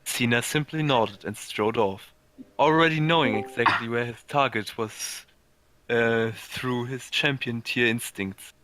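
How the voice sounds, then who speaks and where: A man narrating amateur fan fiction, halting and stumbling over words as he reads aloud. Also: medium pitch, noisy background.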